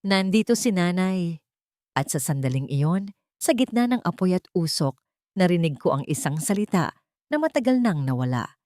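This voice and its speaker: A woman's voice: on the low side, sing-song, normal speed, warm tone, no room sound, studio quality. A woman reading a dramatic first-person story as a scripted audiobook narration.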